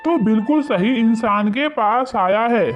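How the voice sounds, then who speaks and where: A man playing a shrewd, suspicious village moneylender in an animated moral story, speaking with condescension. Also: extremely high pitch, a little slow. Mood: condescending, suspicious. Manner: condescending, wary. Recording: muffled lo-fi audio under loud music.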